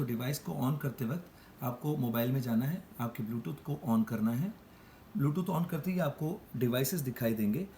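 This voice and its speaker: A man's voice: mid-range voice, a little mellow. A man giving an explanatory product demonstration, addressing viewers as friends. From India. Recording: clear background noise and ambience.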